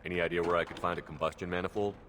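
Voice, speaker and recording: An actor playing a steady human military commander in a video game, direct and matter-of-fact. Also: male voice, low-pitched, very fast, slight background noise. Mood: businesslike. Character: steady, businesslike human male space commander. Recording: echoey hall with long reverb.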